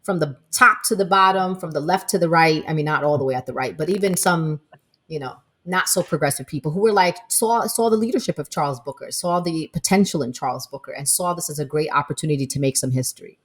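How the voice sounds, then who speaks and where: A woman with a low, deep voice co-hosts a casual podcast. She talks emphatically and tells stories with energy, posing rhetorical questions and answering them herself. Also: quick pace, fairly bright tone, husky. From Palestinian American. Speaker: podcast co-host. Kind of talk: casual political chat.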